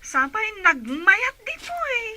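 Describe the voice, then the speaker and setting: Radio drama confidante played by a woman: friendly, coaxing, Ilocano accent, very sharp high voice, slow delivery. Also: wildly swooping pitch, slight background noise, full character voice. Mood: encouraging. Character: helpful, encouraging female friend.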